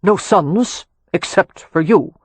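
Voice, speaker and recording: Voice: medium pitch, slow, slightly rough, no echo. A man voicing a shrewd, proud old earl with an Anglo-Irish accent and a dry, graceful delivery in an audiobook. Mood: demanding, dry. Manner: aristocratic, graceful, dry, commanding. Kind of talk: audiobook character dialogue.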